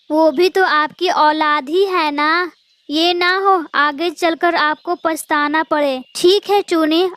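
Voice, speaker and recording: Woman as a caring, high-voiced female pigeon. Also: a bit bright, no echo. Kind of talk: Hindi cartoon voice acting.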